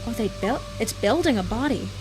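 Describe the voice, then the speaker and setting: A woman's voice: a little high, animated, brisk, over music, rough recording. A woman streamer giving casual commentary on a game, thinking aloud and rambling with restarts. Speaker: let's play streamer.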